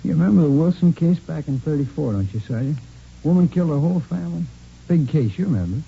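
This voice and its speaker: An actor playing a talkative, cocky murder suspect in a vintage radio crime drama, casual and rambling, with repeats and restarts. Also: male voice, a little high, animated, fast, gravelly, husky, exaggerated voice. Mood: chatty, self-satisfied. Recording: muffled, noisy vintage radio recording.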